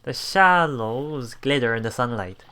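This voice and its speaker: A man's voice: mid-range voice, lively intonation, measured pace, bright, forceful tone, clean tone. A man hosting a let's play, reading visual novel text aloud with casual, musing commentary. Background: game music.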